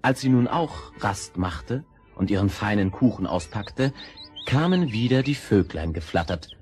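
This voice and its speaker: A man narrating a fairy tale in an expressive storytelling style. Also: lowish voice, measured pace. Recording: old audio play with music.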